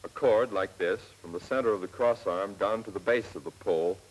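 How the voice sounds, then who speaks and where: Husky American man, 1940s training film narrator. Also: fairly low pitch, low-quality recording. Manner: clear step-by-step instructing, measured and plain. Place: scripted voice-over for film. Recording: old 1940s film soundtrack, slightly rough.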